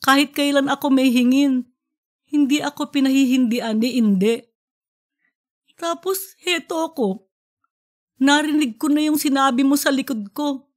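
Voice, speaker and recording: Narrator playing high-voiced woman professor, emotional, self-blaming, guilt-ridden, audiobook drama. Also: unhurried, long pauses. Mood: upset, self-blaming.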